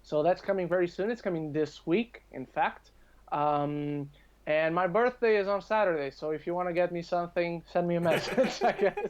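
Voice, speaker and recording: A man's voice: sits high, normal speed, clear, resonant tone. Male podcast guest, casual conversational delivery, slightly lo-fi sound. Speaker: podcast guest, video reviewer. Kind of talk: casual chat.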